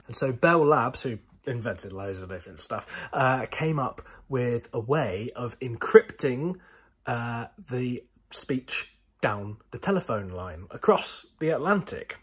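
A young man presenting an informal explainer to camera in a chatty, wry, animated way, with a husky voice. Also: medium pitch. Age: young adult. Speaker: museum video presenter. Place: indoor museum rooms full of synthesizers.

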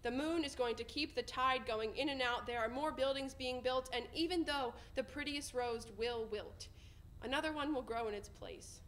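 A woman's voice: high-pitched, normal speed, hardly any pauses, a bit bright, a little hiss or hum. A young woman reciting spoken-word poetry on stage in an emphatic, rhythmic way. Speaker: poetry slam performer. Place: indoor stage in front of a curtain.

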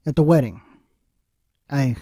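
A man acting in an audio drama as a troubled, remorseful middle-aged husband, speaking haltingly. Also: medium pitch, dark tone, no echo, studio quality, natural voice.

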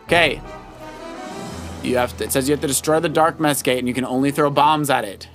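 A man's voice: mid-range voice, fairly quick. A man giving casual, coaching commentary on a video game as someone else plays. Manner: animated, coaching, addresses the player as buddy. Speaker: co-host of a family video game Let's Play. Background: video game music.

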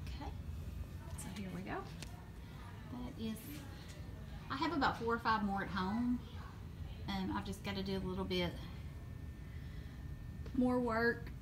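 A woman's voice: medium pitch, unhurried, pauses often, recorded from a distance, background noise. A middle-aged woman chatting casually and gushing over items as she films a walk-through of her antique mall booth. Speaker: booth owner giving a shop tour. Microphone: phone camera held while walking. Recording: phone audio, voice drifting off-mic.

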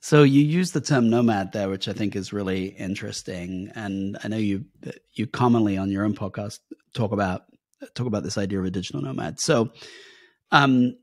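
A middle-aged British man with a British accent softened by years in the US, hosting a podcast interview from his home office in a measured, thoughtful way over a headset. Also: fairly low pitch, no room sound, clean studio sound. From United Kingdom. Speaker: podcast host. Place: home office with bookshelves, video call.

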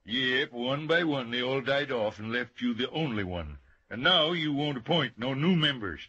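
A folksy, needling small-town man in an old radio comedy. His voice is forceful and husky, with a sarcastic edge, on a muffled vintage recording. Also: mid-range voice, animated, normal speed. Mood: argumentative. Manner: folksy, dry, argumentative. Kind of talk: old-time radio comedy drama acting.

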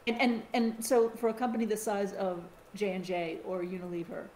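A middle-aged American woman chats on a podcast, animated and full of restarts. Her voice has an ordinary pitch for a woman. It carries a roomy echo over a faint hiss. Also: moderate pace. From United States. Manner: chatty, animated, with many restarts. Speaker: podcast co-host. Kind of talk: casual podcast chat.

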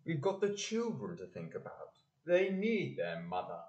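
A man's voice: on the high side, huge pitch swings, moderate pace, a little mellow, highs cut off. Patronising, firm husband for community drama, played by a man; hugely swinging intonation, echoey room. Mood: dismissive. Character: controlling, dismissive husband and father. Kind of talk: amateur community audio play.

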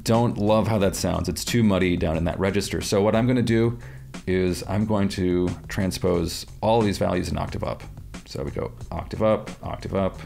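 Middle-aged male tutor, very low and gravelly, methodical and calm, soft music under the voice. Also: normal speed. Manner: calm, methodical explaining with small restarts. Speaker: audio software tutorial presenter. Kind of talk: screen-recorded software tutorial. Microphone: headset-style headphones, webcam inset.

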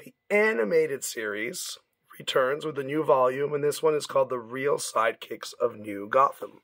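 A man with a raspy, hoarse voice from laryngitis, casually walking through the week's new comics in a comic shop. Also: mid-range voice, no echo. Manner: informal, easygoing, stumbles now and then. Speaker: comic shop staff presenting new releases. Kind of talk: casual product walkthrough.

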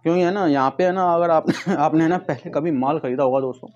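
Higher-voiced man, product pitch. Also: quick pace, a little mellow, highs cut off. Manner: repetitive filler phrases, addresses viewers as friends. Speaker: vlogger and shoe seller. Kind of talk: casual product pitch to camera. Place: tiled room at home with stock boxes, indoors. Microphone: clip-on lapel mic. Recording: noticeable background noise, clicks.

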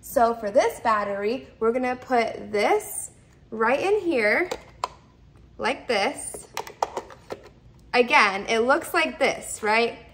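A woman in her thirties talks through a product demonstration in a casual, instructional way. Her voice sits fairly high and often falls into vocal fry. She explains each step simply and tends to repeat herself. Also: expressive, slow, pauses often, a bit bright, a little hiss or hum. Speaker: product reviewer. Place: indoors, open kitchen and dining room at home.

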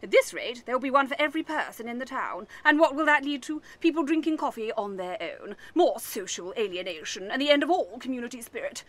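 A woman's voice: fairly high pitch, lively intonation, fast, hardly any pauses, a bit bright. A woman playing a nosy, opinionated, chatty neighbour in a radio comedy play, theatrical and fussy. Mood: indignant.

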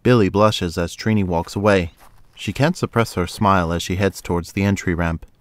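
A man's voice: low-pitched, normal speed, no echo. A man narrating an audio drama from a script in the present tense.

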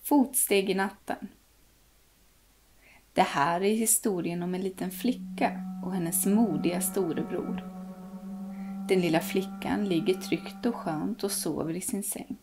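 A woman's voice: lowish voice, background noise. A young woman reading a ghost story aloud to children in a cozy living room, dropping to whispers at the tense moments. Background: soft background music.

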